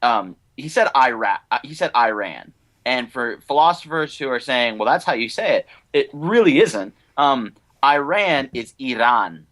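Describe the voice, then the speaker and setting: A man's voice: mid-range voice, expressive, moderate pace, fairly bright tone. A young American man chatting casually as a podcast co-host, in an opinionated, rambling fan manner. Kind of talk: casual panel chat about films and animation.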